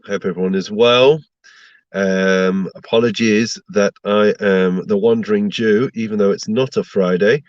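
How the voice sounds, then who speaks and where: A man's voice: fairly low pitch, fairly even intonation, measured pace, dry recording, highs cut off. A man giving an online Torah lesson to viewers, sounding stuffed up from a slight cold and teaching through rhetorical questions. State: blocked up with a cold. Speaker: rabbi giving a Torah class.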